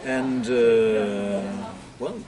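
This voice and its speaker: A man from Spain, a secondary school English teacher answering interview questions in a relaxed, thoughtful way. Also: medium pitch, very slow. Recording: very loud crowd chatter behind.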